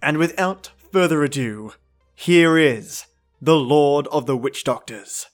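A man's voice: on the high side, lively intonation, slow pace. A man hosting a podcast, giving an enthusiastic scripted introduction addressed straight to listeners. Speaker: podcast host. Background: faint music.

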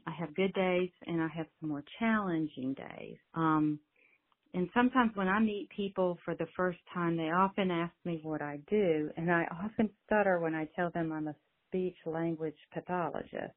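A woman's voice: lowish voice, normal speed. A middle-aged woman who stutters, a speech-language pathologist, telling her own story in a voicemail. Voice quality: stutters, with some repeated words. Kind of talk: recorded voicemail, personal story. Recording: tinny phone line voicemail.

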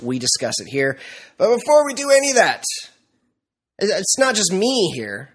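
A middle-aged man hosts a joking podcast with a nasal voice, congested from a cold. His tone is husky and a little rough. He teases in a mock-formal, pompous way. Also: sits high, huge pitch swings, normal speed. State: stuffy from a cold. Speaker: podcast host. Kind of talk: casual comedic podcast banter.

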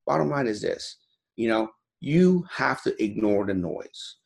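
A man's voice: fairly low pitch, sing-song, measured pace, creaky. A man in his forties from Iran, talking as an interview guest in a blunt, profane, fired-up way, repeating "you know" constantly. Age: 40s. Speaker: guest, gym owner. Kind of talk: motivational interview talk.

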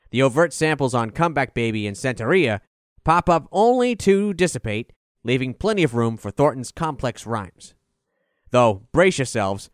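A man's voice: medium pitch, dry recording, studio quality. A man reading a scripted review as narration, in an articulate, formal delivery. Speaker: album review reader.

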